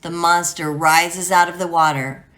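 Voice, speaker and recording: A woman reading a children's book aloud as host, with expressive, emphatic storytelling. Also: on the low side, a little slow, no echo.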